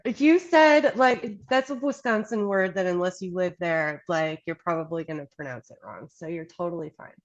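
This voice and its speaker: A woman's voice: fairly low pitch, normal speed, few pauses, highs cut off. A woman hosting an interview, upbeat and enthusiastic as she promotes the festival and its bands. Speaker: interviewer, host.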